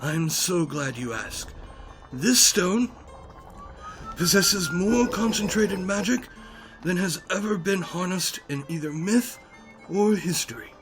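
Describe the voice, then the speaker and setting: A man's voice: high voice, expressive, takes it slowly, faint music behind, poor audio. A man playing a self-assured wizard in an audio drama, with a lofty, formal, theatrical manner. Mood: grand.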